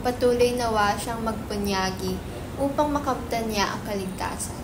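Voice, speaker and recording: Babaeng gumaganap na taimtim na pinunong relihiyoso, umaalingawngaw. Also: female voice, fairly high pitch, normal speed, natural voice. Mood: prayerful. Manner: formal, exhorting, prayerful. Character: solemn, devout religious leader praying over followers. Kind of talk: dramatic reading of a stage script. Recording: noisy, echoey room.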